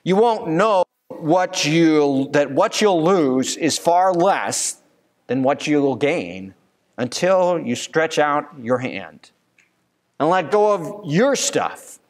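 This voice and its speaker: Pastor guy in his 30s preaching at the pulpit, slow and earnest, kinda higher voice. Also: big pitch swings. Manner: earnest preaching with rhetorical questions. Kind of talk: sermon. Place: church sanctuary, at a pulpit. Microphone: pulpit microphone.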